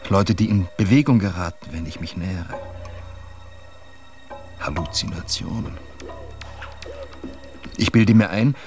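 An actor playing a troubled ex-soldier who suspects he is being watched, in a radio drama. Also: fairly low pitch.

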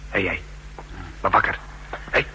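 An actor playing a gruff, brisk agent running a jailbreak, giving terse urgent orders in a 1960s radio drama. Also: male voice. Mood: urgent, conspiratorial. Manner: terse, hushed urgency, bossy. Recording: noisy humming 1960s radio recording.

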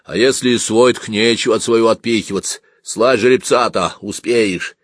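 A man voicing a gruff, folksy peasant soldier on sentry duty, with blunt rustic speech in an audiobook. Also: on the low side, normal speed, dry recording, clean studio sound. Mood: insistent.

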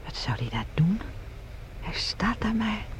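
A woman's voice: medium pitch, sing-song, unhurried. A woman playing an anxious, watchful fugitive in a science fiction radio drama, nervous and often dropping to a whisper. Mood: frightened. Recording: noisy scene with background ambience.

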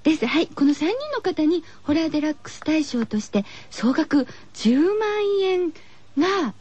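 A woman's voice: high-pitched, normal speed, a little mellow. A woman hosting a radio show from a studio, chatty but scripted, with cutesy, playful phrasing.

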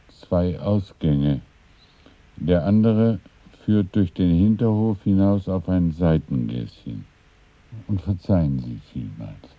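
A man's voice: low-pitched, no room sound. An actor playing a discreet, deferential old shopkeeper in a radio drama, fussily polite in his speech. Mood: apologetic. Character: courteous, discreet old male shopkeeper renting out a room. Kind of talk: German radio play acting. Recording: dry, dull lo-fi radio recording.

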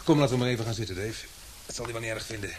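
An actor playing a polite, curious newspaper reporter in a Dutch radio drama, speaking in a courteous, thoughtful and slightly hesitant way. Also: male voice, mid-range voice, rapid-fire, background noise, lightly acted.

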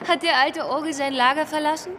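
A woman's voice: high voice, steady tone, a little fast, noisy background, natural voice. An actress playing a gossipy, teasing young city woman in a German radio play. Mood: mocking, amused.

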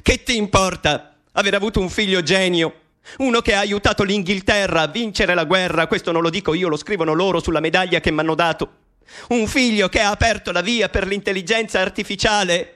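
An anguished mathematician. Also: male voice, high voice, pushed and bright. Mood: bitter. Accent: Italian. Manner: intimate, confessional, bitter and pleading. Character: anguished, persecuted male mathematician confessing to his mother. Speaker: actor in a radio play. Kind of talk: radio drama monologue.

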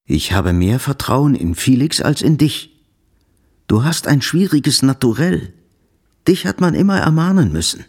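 A man in a radio drama playing a stern, proper old father who speaks formally, in a husky voice. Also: medium pitch, very animated, measured pace, exaggerated voice.

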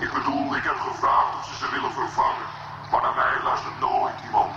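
A man's voice: mid-range voice, big pitch swings, fast talker, creaky. A man playing a depressed, self-pitying robot in a radio drama, mournful, resigned and forever complaining. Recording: very noisy, dull, echoing old radio play.

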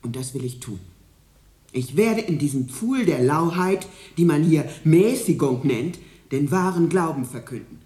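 A woman's voice: sits low, animated, unhurried, dark tone. A woman playing a stern, zealous missionary in a radio drama, solemn, preachy and emphatic, in a roomy echo. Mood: resolute, fervent. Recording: roomy, echoing studio scene.

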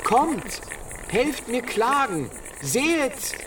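A woman's voice: a little high, very animated, extremely slow, background noise, exaggerated voice. A woman playing a mourner who calls out lamenting verse urgently and declaims it in a radio drama, recorded at some distance. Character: lamenting woman calling others to behold the Lamb.

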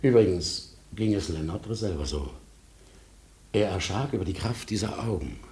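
An actor in a German radio play playing an older, ailing lawyer, a melancholy storyteller who speaks formally and deliberately, often letting his voice sink. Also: low-pitched, expressive, warm tone. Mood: brooding, haunted. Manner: deliberate, formal, pauses and drops to near whisper.

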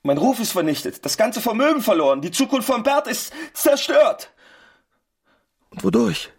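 Bewildered anguished husband, agitated, husky, highly animated pitch, radio play. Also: male voice, normal speed, exaggerated voice. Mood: despairing. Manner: agitated, emotional. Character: distraught, bewildered husband in provincial France. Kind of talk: German radio play acting.

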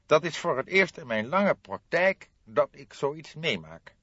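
A pompous, guarded little male notary, put on by a man reading an audiobook. His voice sits high, with stiff official formality and broad swings in pitch, on a slightly dull recording. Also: very animated, measured pace, bright, forceful tone, husky, no room sound, exaggerated voice. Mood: officious. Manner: stiffly formal, officious, hesitant when pressed. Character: short, round-faced male notary, formal, guarded, self-important. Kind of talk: audiobook character acting.

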